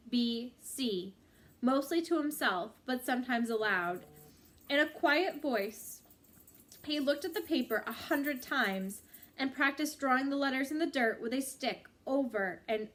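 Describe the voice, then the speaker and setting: A woman reading a book chapter aloud in a measured, expressive storytelling style with drawn-out phrases. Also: high-pitched.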